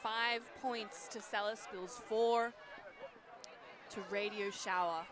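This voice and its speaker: A woman's voice: medium pitch, steady tone, takes it slowly. A woman giving live colour commentary on a sports match as co-commentator, explaining the play in an emphatic way. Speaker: co-commentator, analyst. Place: outdoors beside a sports field. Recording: outdoor crowd and traffic noise. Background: crowd and outdoor ambience.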